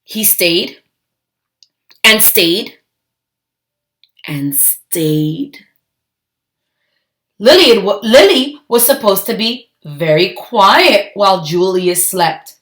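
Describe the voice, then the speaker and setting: A woman reading a children's story aloud, expressive and emphatic, with deliberate phrasing. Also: mid-range voice.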